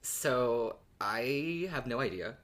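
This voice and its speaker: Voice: mid-range voice, expressive, slow, a bit bright. Casual podcast co-host, mid-range voice. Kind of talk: casual fan discussion podcast.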